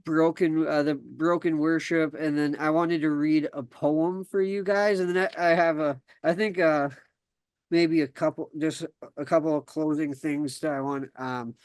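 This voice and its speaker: A man's voice: a little high, steady tone, moderate pace, a little mellow, smooth, dry recording. A young man from South Dakota hosting a podcast, talking casually with many fillers and restarts, then reading his own story aloud. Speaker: podcast host.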